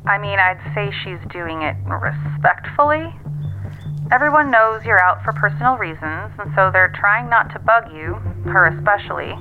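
A woman's voice: medium pitch, expressive, normal speed. Audio drama female on a thin phone line, dry, businesslike colleague, pointed delivery, faint music. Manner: dry, pointed, matter-of-fact. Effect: telephone filter.

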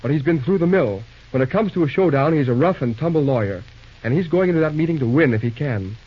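An actor playing an earnest, brisk, matter-of-fact railroad superintendent with an American accent in an old-time radio drama. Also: male voice, fairly high pitch, expressive, husky. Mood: earnest, impatient. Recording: dull, noisy old radio recording.

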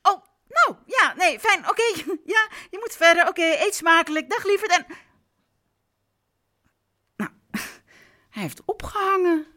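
A woman's voice: very high voice, expressive, a little slow, pauses often, pushed and bright, heavily acted. A woman acting in a Dutch radio play as an exasperated, ironic mother of young boys, emphatic and talking in short bursts. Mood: cheerful, motherly. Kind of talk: naturalistic radio drama acting.

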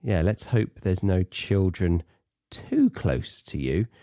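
Male British podcast host, low-pitched and animated, wry conversational teacher, close dry sound. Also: slow pace, no room sound. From Britain. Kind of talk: chatty educational podcast monologue.

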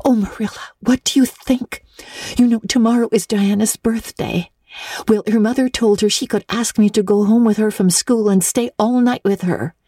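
A woman's voice: fairly high pitch, steady tone, normal speed, warm tone, no room sound, clean studio sound, pitched above the performer's own voice, lightly acted. A woman voicing an eager, imaginative young girl in a storybook reading, breathless, gushing and earnest as she pleads. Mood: excited, gushing. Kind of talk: dramatic audiobook reading of classic literature.

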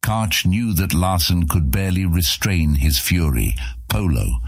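Male audiobook narrator, low voice, lively intonation, dramatic fantasy storytelling, dry studio sound. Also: unhurried, breathy edge, no echo.

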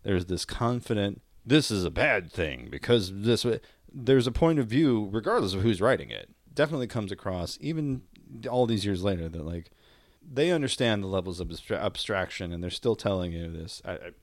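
A man's voice: on the low side, animated, moderate pace, no room sound, studio quality. A man co-hosting a podcast in a casual, comedic, rambling and wry way. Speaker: podcast co-host.

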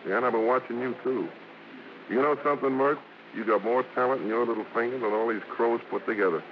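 A man's voice: mid-range voice, fast talker, close to an everyday voice. An American man playing a cocky, slangy, teasing vaudeville star in old radio drama style. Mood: confident coaxing. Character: brash, cocky, wisecracking male vaudeville hoofer and star. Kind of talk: 1940s-50s American radio drama acting. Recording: noisy, muffled old radio broadcast.

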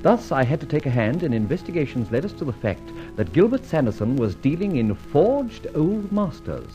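A man playing a suave, wry and commanding gentleman adventurer in a vintage radio adventure serial. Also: on the high side, sing-song, normal speed. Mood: wry narrating. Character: suave, self-assured gentleman adventurer and amateur sleuth. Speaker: narrator and lead actor. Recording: dull old radio recording, music behind.

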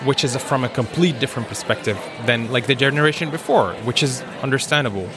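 A man of medium pitch moderates an informal discussion, feeling his way through long thoughts with frequent pauses. Music plays clearly behind his voice. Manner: hesitant, searching, pausing often. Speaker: panel moderator.

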